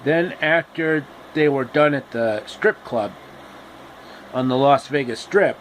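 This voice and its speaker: A man telling a story casually and off the cuff, in a halting way with pauses and repeats. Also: a little high, a little hiss or hum, poor audio.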